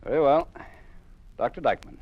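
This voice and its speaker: An actor playing a stern, coercive judge at a show trial in an old radio drama, with a formal, commanding delivery. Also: male voice, medium pitch, moderate pace, highs cut off, natural voice. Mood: angry, threatening. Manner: formal, commanding, declamatory in court. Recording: noisy old radio recording.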